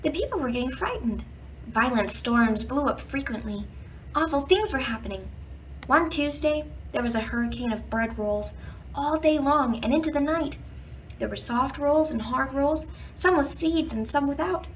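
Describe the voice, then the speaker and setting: A woman reading a children's picture book aloud, with expressive emphasis and drawn-out words in a storytelling manner. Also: sits high. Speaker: children's bedtime story reader. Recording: dull, distant home recording with background noise.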